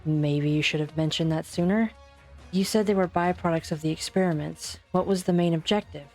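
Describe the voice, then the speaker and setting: An amateur actress in a fan dub playing a composed, analytical young investigator with a direct, questioning, level-headed manner. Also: female voice, low voice, fairly quick, darkish tone, soft background music. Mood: probing. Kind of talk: amateur fan dub game drama acting.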